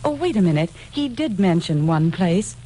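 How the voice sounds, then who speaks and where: A woman's voice: fairly low pitch, big pitch swings, moderate pace, dark tone. A woman playing a frail, anxious housewife in an old-time radio drama, speaking in a worried, hesitant, polite way. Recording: old radio recording, faint noise.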